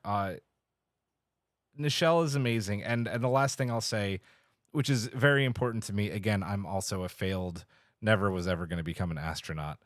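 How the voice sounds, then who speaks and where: A man co-hosting a podcast in casual, heartfelt chat, telling stories emphatically and haltingly. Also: fairly low pitch, dry recording, clean studio sound. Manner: emphatic, halting, enthusiastic storytelling with acted-out quotes. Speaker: podcast co-host.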